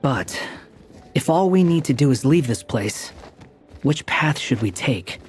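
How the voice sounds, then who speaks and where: A man's voice: mid-range voice, animated, unhurried, soft background music, natural voice. A man voicing a terse young traveler who speaks in a curt, dry, weary way. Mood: practical.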